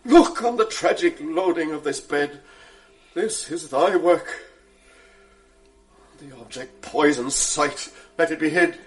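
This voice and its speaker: A man's voice: a little high, takes it slowly, long pauses. A stern commanding nobleman. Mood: outraged, commanding. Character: Lodovico, stern Venetian male nobleman. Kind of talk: 1980s radio drama acting.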